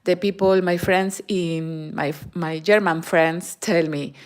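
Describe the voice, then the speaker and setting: Woman, Peruvian Spanish accent, unhurried delivery, crochet livestream host, halting English with fillers. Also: fairly low pitch, steady tone, clear, resonant tone. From Peru. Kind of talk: live-stream crochet tutorial and chat. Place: home in Germany.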